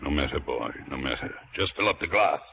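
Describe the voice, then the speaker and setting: An actor playing a sly, menacing seafaring pirate in an old radio drama, speaking an insinuating sailor's dialect. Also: male voice, a bass voice, animated, a little fast, vocal fry, sharp tone, full character voice. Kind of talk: 1950s radio drama acting. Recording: noisy old radio broadcast.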